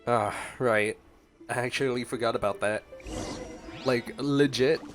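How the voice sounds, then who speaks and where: A male gaming streamer with a medium-pitched voice chats casually as he reacts to a game. His manner is playful, and music plays clearly behind him. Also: fairly even intonation, unhurried. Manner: playful, chatty.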